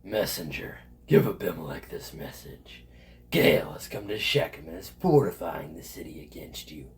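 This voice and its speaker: A man playing a stern, scornful scheming city officer in an audio Bible drama, with a gravelly voice and a sardonic, menacing manner. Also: animated, normal speed. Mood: conspiratorial. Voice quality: gravelly, low.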